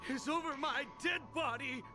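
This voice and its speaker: An actor playing a gloomy, villainous guard who speaks in a theatrical, brooding, drawn-out way. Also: male voice, very high voice, over music. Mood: brooding, dramatic. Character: melodramatic gloomy male guard of despair, villainous.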